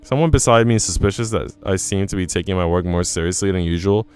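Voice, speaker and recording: Male let's player, lowish clean voice, narrating a visual novel expressively with off-script remarks, soft music underneath. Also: quick pace, clear, resonant tone. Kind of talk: expressive read-aloud of story narration and dialogue.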